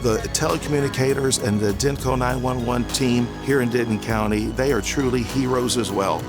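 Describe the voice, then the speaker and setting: A man's voice: mid-range voice, moderate pace. A man giving a promotional testimonial in an interview. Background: background music.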